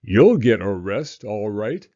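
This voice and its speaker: A man voicing a blustering, self-pleased storybook gentleman who shouts emphatically. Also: fairly low pitch, very animated, slow pace, lo-fi sound. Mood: gloating. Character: blustering, triumphant adult man, pleased with himself.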